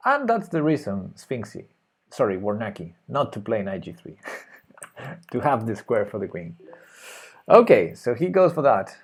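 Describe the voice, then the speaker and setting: A man's voice: on the low side, sing-song, normal speed, pauses often, slightly rough. Spanish man muttering chess moves aloud. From Spain. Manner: thinking aloud, hesitant, muttering move names. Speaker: chess streamer. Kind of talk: live chess commentary while playing.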